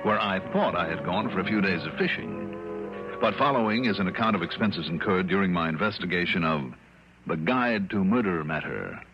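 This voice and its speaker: An actor playing a wry, exasperated insurance investigator in a 1960s radio drama. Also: male voice, sits low, lively intonation, moderate pace, muffled recording. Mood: wry narration. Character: wry, exasperated male freelance insurance investigator, narrating his case. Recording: band-limited vintage radio, music behind.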